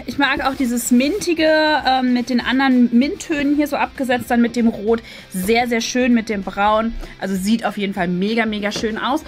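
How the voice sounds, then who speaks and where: A woman's voice: on the high side, fairly even intonation, normal speed. A woman, hosting a casual, chatty product review. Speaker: host of an unboxing video. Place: small decorated indoor room. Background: faint music.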